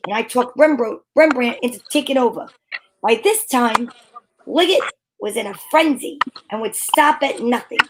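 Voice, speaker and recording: A high-pitched, wry female detective played by a woman tells her case in old-time radio style. She is brisk and bossy, and there is light noise and clicking on the recording. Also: natural voice. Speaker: narrator and lead actor.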